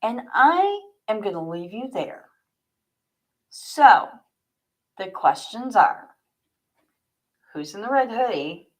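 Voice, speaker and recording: A woman's voice: mid-range voice, very animated, takes it slowly, long pauses. A woman author hosting a livestream, reading her own story aloud and chatting to viewers.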